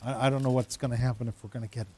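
A man's voice: mid-range voice, fairly even intonation, racing, dark tone, slightly rough. A pastor in his fifties giving a conversational sermon, teasing members of the congregation by name. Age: 50s. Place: church.

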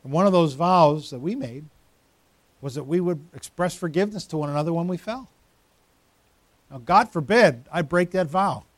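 A man's voice: a little high, normal speed, pauses often, no echo. A pastor in his fifties giving a conversational sermon, teasing members of the congregation by name. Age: 50s. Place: church.

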